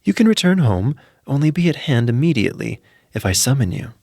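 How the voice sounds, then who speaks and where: Storybook soldier, acted by a man: male, war-weary, resolute, plain and firm in speech, lively intonation. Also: medium pitch, fairly quick, breathy edge, dry recording, studio quality.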